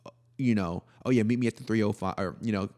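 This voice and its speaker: A man's voice: fast, a little mellow. A man, co-hosting a podcast in a laid-back, joking, slangy way. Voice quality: deep voice. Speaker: podcast co-host. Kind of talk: casual chat.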